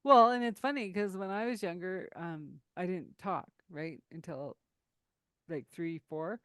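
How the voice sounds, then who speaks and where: A woman hosting an interview, chatty and animated, who tells stories quickly and laughs often. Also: lowish voice, smooth, no echo, clean studio sound. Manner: lively storyteller, laughs often, speeds up when excited. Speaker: podcast host. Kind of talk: casual interview conversation.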